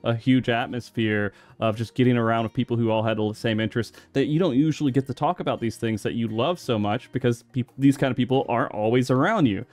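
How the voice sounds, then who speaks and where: A man's voice: mid-range voice, fast talker, few pauses, a little mellow. A man in his thirties hosting a podcast, chatting casually, rambling and laughing often. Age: 30s. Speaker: podcast host.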